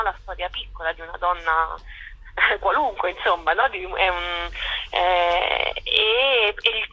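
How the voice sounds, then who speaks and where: A woman's voice: medium pitch, pushed and bright, rough recording. A woman, a theatre maker, answering interview questions over the telephone in a hesitant way, searching for words and repeating phrases. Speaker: guest, theatre author and actress.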